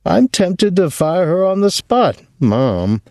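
A man's voice: high voice, very animated, slow, a little mellow, no room sound, clean studio sound, voice pushed up, heavily acted. A man reading aloud voices an elderly mother who complains shrilly and melodramatically over the phone. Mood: complaining. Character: fretful complaining elderly mother, older woman.

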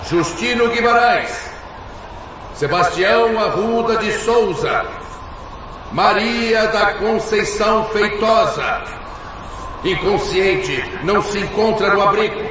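A commanding male rescue leader calling names in an authoritative, announcing tone with a high voice, as an actor plays him. He sounds far from the mic with a long echo. Noise runs under the lo-fi sound. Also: slow. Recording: echoey distant lo-fi voice in a hall with noise.

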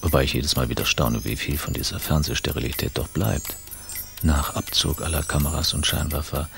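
Very deep, gravelly smug man. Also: fairly quick, a bit bright, soft background music, full character voice. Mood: smitten, self-satisfied. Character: smug, besotted male cosmetic surgeon admiring his TV-star partner. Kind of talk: radio drama interior monologue.

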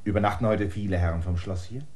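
Ein Schauspieler spielt in einem Hörspiel einen erschöpften, flehenden Landvermesser, der drängend und bittend spricht. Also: male voice, deep voice, steady tone, fast, a little mellow. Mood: persuasive. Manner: pleading, clinging, insistent. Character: weary stranded male land surveyor, pleading and insistent. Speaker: land surveyor character. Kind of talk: radio play acting.

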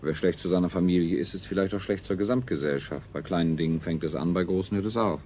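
A man's voice: deep voice, quick pace, darkish tone, lightly acted. An actor playing a proud, dutiful technologist and family man in a 1970s radio drama, with sober, stiffly sententious delivery. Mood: sober, earnest. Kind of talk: 1970s German science fiction radio drama acting. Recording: faintly noisy 1970s radio recording.

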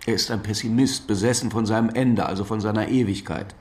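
An actor playing a measured, matter-of-fact police investigator in a German radio crime drama, speaking deliberately and precisely. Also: male voice, lowish voice, fairly even intonation, a little mellow, natural voice.

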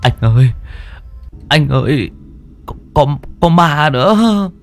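A man's voice: on the high side, lively intonation, takes it slowly, creaky, soft background music, pitched above the performer's own voice, full character voice. A man voicing a terrified young male henchman who stammers in panic.

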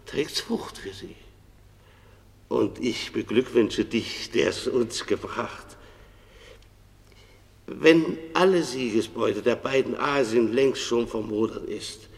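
An actor playing a kindly, reverent farmer on a jury of the dead, speaking reflectively in a radio drama. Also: male voice, medium pitch, gravelly. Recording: long hollow reverb.

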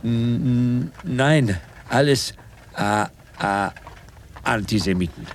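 An actor playing a dim, cornered captive with a heavy, halting stammer in a German radio comedy. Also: male voice, mid-range voice, expressive. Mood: aggrieved. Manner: heavy stammer, halting, repeats stock phrases. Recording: noisy digitized radio cassette.

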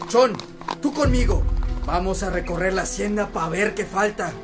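A man's voice: high-pitched, normal speed, lightly acted. An actor playing a commanding hacienda boss who rallies his farmhands in a radio drama. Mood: rousing, commanding. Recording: music and farm sounds behind.

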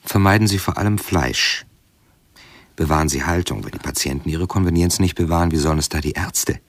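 An actor playing a pompous, dismissive medical professor in a radio drama, clipped and sententious. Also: male voice, a bass voice, brisk, no echo. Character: pompous, dismissive male professor of medicine lecturing his patient. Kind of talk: 1970s German radio drama acting.

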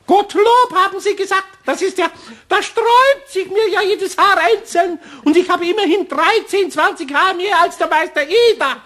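A man's voice: very high voice, normal speed, sharp tone, pitched above the performer's own voice. A man voicing a bragging male marionette kobold in a radio play, chirpy, boastful and gossipy.

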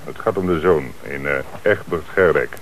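A very low male voice as a police commissioner in a radio play. He is calm, authoritative and businesslike, on an old recording with faint noise. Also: normal speed. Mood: calm authority. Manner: businesslike questioning and orders.

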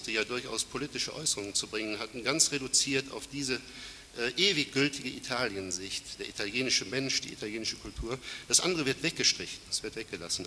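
A man's voice: medium pitch, sharp tone. Man with a cold lecturing in a hall. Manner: formal, measured, with frequent fillers and self-corrections. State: has a cold. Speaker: conference speaker. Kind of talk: academic lecture. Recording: hissy reverberant hall recording.

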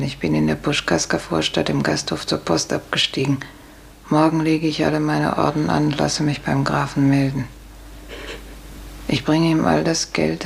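An actress playing a weary, hard-drinking older serf aunt in a radio play, resigned and sardonic in a measured delivery. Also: female voice, low-pitched, gravelly. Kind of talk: 1950s German radio play acting. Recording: echoey, hissy 1950s radio recording.

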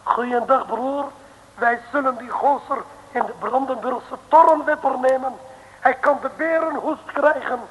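An actor in a 1950s radio play playing a scheming villain who talks in broken grammar and boasts with slow menace. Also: male voice, extremely high pitch, steady tone, fairly bright tone, slightly rough, dull audio, heavily acted.

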